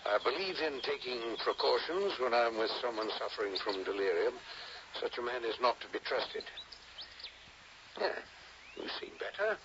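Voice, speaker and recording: Measured doctor on noisy old radio. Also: male voice, medium pitch, sing-song, a bit bright. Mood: wary. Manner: measured, polite, inquiring. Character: calm, cautious, watchful male colonial doctor. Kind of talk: old-time radio drama acting. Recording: noisy, muffled vintage radio recording.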